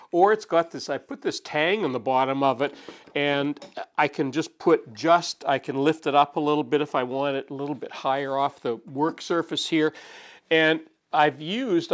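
Older man, 60s, woodworking host, chatty and relaxed, slightly high voice, chuckles as he talks, home workshop. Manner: chatty, relaxed, chuckles often while explaining. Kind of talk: casual workshop demonstration. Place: home woodworking shop, indoors.

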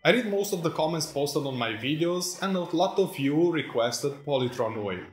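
A man's voice: fairly high pitch, animated, moderate pace, slight background noise. A man in his thirties from Romania with a Romanian accent, chatting casually to camera in a vlog and laughing often at his own asides, recorded on a phone in a workshop. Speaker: vlogger. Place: indoor workshop with tools and shelves. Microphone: phone camera mic. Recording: echoey room on a phone mic, faint music.

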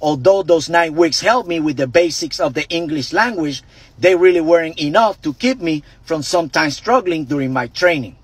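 Puerto Rican man in his fifties, husky. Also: a little high, animated, normal speed, dry recording. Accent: Puerto Rican Spanish. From Puerto Rico. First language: Spanish. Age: 50s. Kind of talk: storytelling to camera. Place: parked car. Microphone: phone camera close up, earpiece in.

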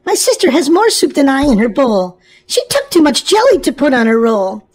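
A woman's voice: high voice, big pitch swings, normal speed, darkish tone. A woman voicing a small, cranky, selfish boy rabbit who whines, in a children's storybook. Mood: whiny complaining. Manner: whiny.

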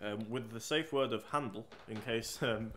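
A man's voice: medium pitch, normal speed, over a noisy crowd. A man in his twenties, a radio host, talking casually to camera in a nervous, jittery ramble. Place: indoors in an old hall room. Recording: echoey room sound.

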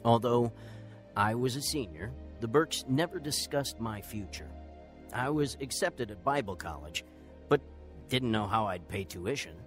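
A man's voice: medium pitch, lively intonation, a little slow, soft background music, lightly acted. A man acting as narrator of a radio drama, playing someone earnestly recalling his bitter, lonely youth. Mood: reflective. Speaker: narrator and lead actor. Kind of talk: scripted first-person radio drama narration.

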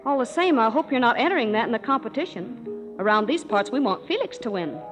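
A bright, teasing young woman. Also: a little high, a little fast. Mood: playful. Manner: lively teasing banter. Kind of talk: 1930s radio play acting. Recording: dull old radio broadcast with music behind.

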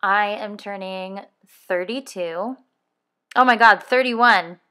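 A woman's voice: mid-range voice, takes it slowly, bright, forceful tone, clear, resonant tone. A woman in her early thirties hosting a podcast, talking casually and rambling a little, correcting herself and laughing as she goes. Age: 30s. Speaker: podcast co-host.

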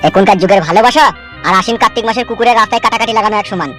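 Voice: medium pitch, racing, fairly bright tone, soft background music, lightly acted. A performer voicing a scolding, streetwise young man in a comedy cartoon.

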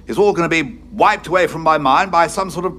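An actor playing a brisk, loyal British military brigadier in an audio drama, with clipped, old-fashioned officer's bluster and a British accent. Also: male voice, on the high side, pushed and bright, slight background noise. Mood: resolute. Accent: British English.

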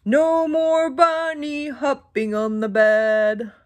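Woman playing a slow, emphatic, stern doctor cat. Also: high-pitched, level tone, soft background music. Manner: loud, slow, emphatic commanding.

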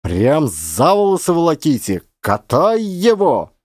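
A man voicing a hot-headed, loudmouthed villager who yells in rough peasant speech in a storybook reading. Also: a little high, wildly swooping pitch, a little slow, no echo, studio quality, heavily acted. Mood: frenzied, goading.

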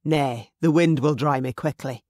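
A narrator doing a stern Scottish Highland chief. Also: measured pace, a little mellow, no echo, clean studio sound, natural voice. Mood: tender commanding. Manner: firm, clipped orders.

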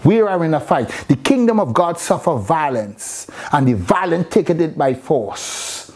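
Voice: mid-range voice, big pitch swings, measured pace, breathy edge. A preacher delivering an impassioned, exhorting sermon, repeating phrases for emphasis.

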